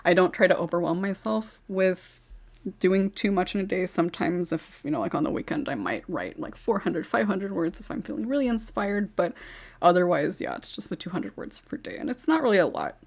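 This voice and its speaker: A woman's voice: lowish voice, animated, fairly quick, creaky, darkish tone. A woman hosting a casual podcast chat, laughing often and using lots of fillers as she moves the talk along. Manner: giggly, filler-heavy, keeps things moving. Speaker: podcast host.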